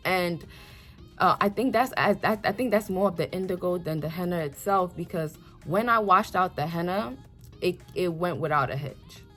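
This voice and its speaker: A woman's voice: lowish voice, normal speed, a bit bright, soft background music. A young woman, born in Nigeria and raised in the United States, chatting casually as she answers viewer questions about her hair, with lots of restarts and fillers. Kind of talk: casual Q&A vlog.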